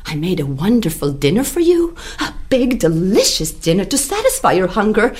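A woman's voice: mid-range voice, wildly swooping pitch, normal speed, a little mellow, husky. A woman voicing a clever, polite little female rabbit in a told folk tale, sweet and pleading.

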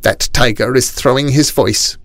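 A man doing a shrill, cackling old royal aunt. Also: fairly even intonation, moderate pace, a bit bright, dry recording, lightly acted. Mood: muttering disapproval. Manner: cackling, muttering, scornful. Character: disapproving, stick-thin elderly royal aunt, cackling and scornful. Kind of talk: storybook character voice.

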